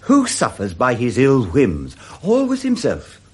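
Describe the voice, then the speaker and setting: An actor with a British accent playing a good-humoured, forgiving Victorian gentleman in a jovial 1960s radio drama style. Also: mid-range voice, unhurried, slightly rough, full character voice. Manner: jovial, animated, chuckling.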